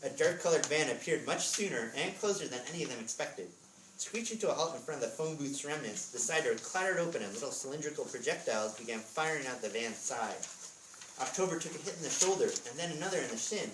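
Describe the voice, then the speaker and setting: A man reads a story aloud in an expressive storybook style, slipping into casual asides. His voice sits at a middling pitch and is picked up from a distance in an echoey room with faint noise. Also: fast talker. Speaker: author reading from his book at a school readers theatre.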